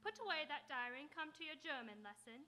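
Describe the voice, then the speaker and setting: A woman's voice: high-pitched, sing-song, fast, bright, forceful tone, heavily acted. A woman acting in live radio theatre as a prim, fussy, schoolmarmish Victorian governess. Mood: nagging.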